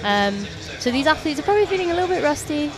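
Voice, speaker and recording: A woman's voice: sits high, very animated, fast talker. A woman co-commentating live on a climbing competition, upbeat and analytical, with encouraging words about the athletes' technique.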